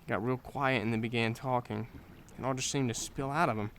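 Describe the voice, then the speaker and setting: An actor playing a curious young adult son who keeps pressing with questions in a radio drama. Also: male voice, mid-range voice, fairly even intonation, fairly quick, fairly bright tone, a little hiss or hum, lightly acted. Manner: insistent, questioning.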